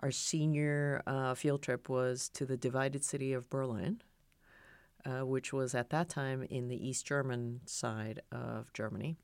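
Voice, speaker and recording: A woman's voice: rumbling low, steady tone, measured pace, clean tone, no echo. A middle-aged woman from Japan, a foundation president, talking in a thoughtful, conversational way with plenty of fillers as an interview guest. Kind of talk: casual interview talk.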